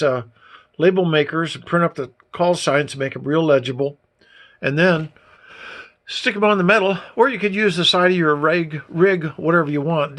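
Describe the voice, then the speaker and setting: Slightly high-voiced man, casual and folksy. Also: fairly bright tone. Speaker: amateur radio hobbyist presenter. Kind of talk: casual hands-on demonstration talk.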